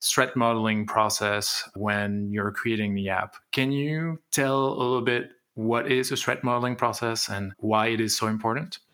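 A man's voice: on the low side, fairly even intonation, normal speed, hardly any pauses, pushed and bright, clear, resonant tone. A man hosting an interview podcast, reading introductions and asking friendly questions. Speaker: podcast host.

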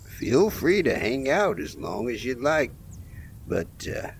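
A man's voice: medium pitch, very animated, takes it slowly, exaggerated voice. A man playing a folksy, wry gravedigger who narrates small-town horror tales in an audio play. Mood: wryly macabre. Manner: wry, theatrical. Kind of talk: scripted horror storytelling. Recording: clearly noisy recording.

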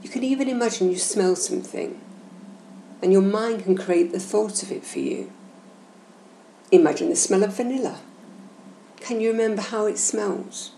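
Female meditation host, soothing instructional delivery, gravelly fry in the voice, slight background noise. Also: medium pitch. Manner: gentle, measured, instructional. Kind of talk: slow, soothing guided meditation narration. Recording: roomy echo, faint background noise.

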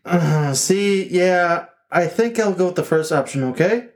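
A jittery husband faces trouble at his front door, as a man plays him. His slightly high voice trips over itself in ums and restarts. He tries to sound tough and keeps faltering. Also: expressive, measured pace. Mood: flustered. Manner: hesitant, stammering, with many fillers. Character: nervous flustered husband answering the door.

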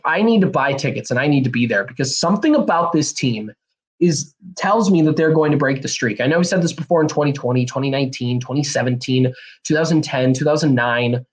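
A young man, hosting a solo podcast in a rambling, energetic way, a bit hoarse and nasal from a cold. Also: medium pitch. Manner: rambling, energetic, many fillers and restarts. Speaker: podcast host. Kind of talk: casual solo podcast talk.

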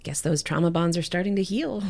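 An actress playing a gentle, earnest survivor in a fiction podcast, speaking in a measured way. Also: female voice, on the low side, expressive, gravelly. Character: gentle, earnest telepathic woman, kind and cautious survivor. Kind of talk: scripted fiction podcast acting.

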